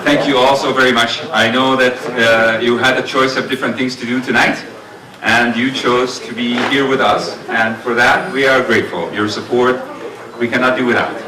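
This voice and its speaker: A middle-aged man with a booming voice giving an earnest speech at a community dinner, telling stories with many fillers. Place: large banquet hall. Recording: roomy hall sound, distant mic.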